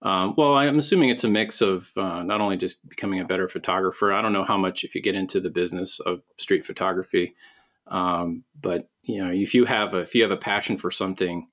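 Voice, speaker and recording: A man hosting a podcast, asking questions in a somewhat low voice. He eases in with fillers and repeats his openings. The sound is slightly muffled and dull. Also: fairly quick. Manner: relaxed, with fillers and repeated starts. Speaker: podcast host. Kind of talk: casual interview questions.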